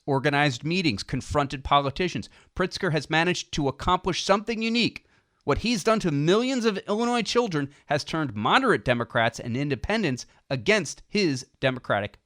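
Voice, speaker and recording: A man reading scripted political nonfiction as an audiobook narrator, with emphatic, deliberate phrasing. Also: medium pitch, a bit bright, no room sound, studio quality.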